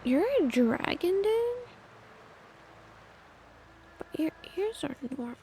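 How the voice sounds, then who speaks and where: A woman streams a game with casual, playful commentary and some swearing. Her voice is high yet soft-toned, and she takes her time, with quiet music behind her. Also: very animated, slow, a little hiss or hum.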